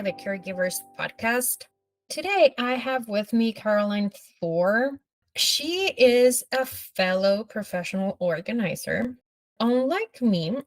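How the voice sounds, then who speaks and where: A woman hosts a podcast interview, introducing her guest and stepping in to explain things in a friendly way. Her voice is mid-pitched. It is recorded dry and close. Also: dry recording. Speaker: podcast host.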